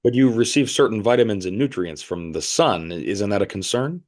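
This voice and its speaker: A man's voice: lowish voice, lively intonation, moderate pace, darkish tone, natural voice. A man playing a curious, flustered interviewer in an audio play, with a hesitant, stammering, inquisitive manner. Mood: curious, puzzled. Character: curious, flustered male interviewer visiting a strange commune.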